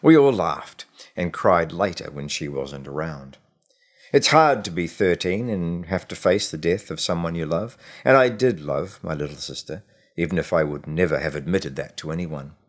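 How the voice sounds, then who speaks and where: Low-pitched man narrating a story. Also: animated, moderate pace. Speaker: author reading own short story. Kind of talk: scripted audiobook narration.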